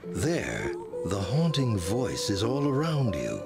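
A man playing a solemn storyteller, narrating a dark fantasy game in a dramatic, measured way. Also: fairly low pitch, huge pitch swings, creaky, over loud music, heavily acted.

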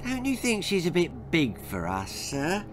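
A man's voice: fairly high pitch, huge pitch swings, unhurried, background noise. A man voicing a weedy, timid soldier in a storybook, meek and hesitant. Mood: nervous. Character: weedy, timid male soldier, nervously deferential.

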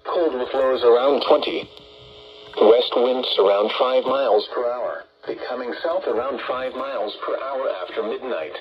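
A synthetic-sounding man reading a scripted weather forecast in a measured way, heard through a small radio speaker. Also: medium pitch, dull audio, a loud crowd. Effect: played through a small radio speaker.

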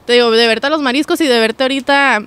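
A woman's voice: high-pitched, quick pace, a bit bright, clear, resonant tone. Effusive young Mexican woman podcaster. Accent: Mexican Spanish. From Phoenix, USA. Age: 20s. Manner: slangy, effusive. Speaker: podcast host. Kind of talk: casual chat. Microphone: podcast microphone on boom arm, close.

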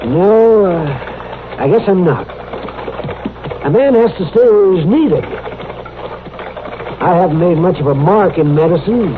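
Lively, high-voiced kindly frontier doctor. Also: male voice, a little slow, pauses often. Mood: modest, wistful. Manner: hesitant, folksy, lively. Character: kindly, modest, plain-spoken frontier town doctor. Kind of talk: old-time radio western acting. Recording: tinny, noisy old radio recording.

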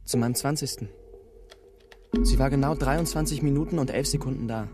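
Schauspieler als bitterer, trotziger junger Mann. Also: male voice, medium pitch, fairly quick, over loud music, lightly acted. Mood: defiant, irritated. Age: 20s. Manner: sarcastic, defiant. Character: bitter, sullen young man, estranged son being questioned. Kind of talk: naturalistic German radio drama acting.

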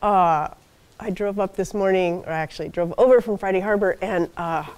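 A soft-spoken older woman gives a guest lecture from a podium in a lecture hall. She explains things patiently, checking in with the audience with frequent okays and ums. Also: mid-range voice, normal speed. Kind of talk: university seminar talk.

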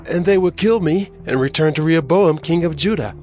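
A man's voice: a little high, fairly quick, a little mellow, soft background music, close to an everyday voice. An actor playing a scheming, anxious king of ancient times, brooding aloud in a dramatized audio Bible. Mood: alarmed.

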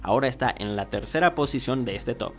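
A man's voice: medium pitch, brisk. Male football countdown host over music. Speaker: YouTube host. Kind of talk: scripted football countdown narration. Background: background music.

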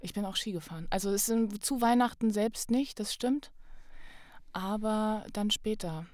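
A young woman talks in a casual, chatty way, telling things as a podcast guest. Her pitch sits in the middle range. The sound is dry, with hardly any room around it. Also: normal speed, a little mellow, dry recording. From Thuringia, Germany. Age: young adult. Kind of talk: casual conversational interview.

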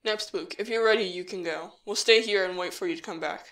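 A woman's voice: lowish voice, quick pace, a bit bright. A woman playing an earnest, take-charge human child in a fan audio drama, direct and practical.

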